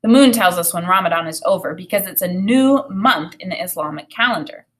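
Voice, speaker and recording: A woman reading a children's story aloud in an expressive narrating style. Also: fairly low pitch, fairly quick.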